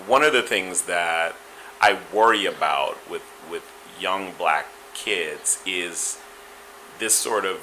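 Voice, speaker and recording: A man with a Caribbean accent talks in a very low yet bright, ringing voice. He answers interview questions in a thoughtful, measured way, often restarting a phrase and adding "you know." A faint hum runs underneath. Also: rough recording. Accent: Caribbean, Guyanese and Trinidadian. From Guyana. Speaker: interview guest.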